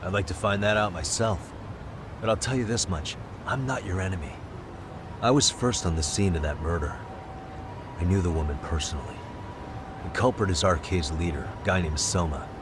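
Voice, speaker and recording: Deep-voiced wry detective played by a man. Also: moderate pace, background noise, natural voice. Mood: earnest. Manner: dry, sardonic, conversational. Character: wry, level-headed male detective. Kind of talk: English-dub video game cutscene acting. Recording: music behind the voice.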